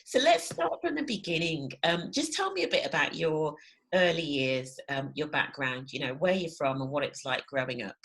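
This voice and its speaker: A woman's voice: deep voice, lively intonation, moderate pace, slightly rough, low-quality recording. A woman hosting her own interview channel, talking enthusiastically with lots of ums and "you know". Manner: warm, enthusiastic, filler-heavy. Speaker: interview host. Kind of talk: casual interview conversation.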